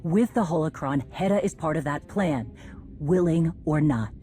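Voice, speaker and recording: A woman's voice: low voice, moderate pace, dark tone, soft background music, lightly acted. A woman playing a tough, stubborn warrior leader in a space-opera video game, speaking with blunt determination. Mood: defiant. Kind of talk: cinematic video game acting.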